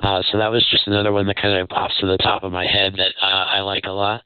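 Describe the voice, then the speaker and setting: Smooth, lowish man on dull audio. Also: completely flat delivery, fast talker, dry recording. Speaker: panelist. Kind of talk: casual opinion talk. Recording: dull lo-fi radio-like sound.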